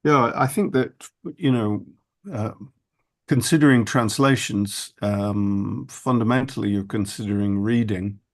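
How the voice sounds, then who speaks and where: A man speaking as an author on a roundtable panel, halting and thoughtful with many fillers and restarts. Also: lowish voice. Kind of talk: academic roundtable discussion.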